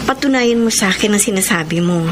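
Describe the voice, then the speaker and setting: A woman's voice: mid-range voice, expressive, quick pace, natural voice. A woman acting a frank, self-assured young girlfriend in a Filipino radio drama. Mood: teasing, frank. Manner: frank, assertive. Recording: radio drama with loud noisy ambience.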